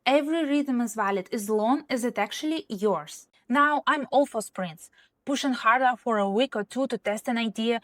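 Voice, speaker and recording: A woman's voice: fairly high pitch, no echo. A woman who is not a native English speaker, a social media consultant giving direct, emphatic advice in a scripted talk to camera.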